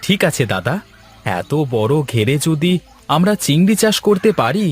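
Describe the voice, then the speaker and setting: A man's voice: a little high, very animated, normal speed, breathy edge, faint music behind. A man playing a poor, wheedling young village husband in a cartoon moral tale, with a pleading, ingratiating manner. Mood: meek, ingratiating.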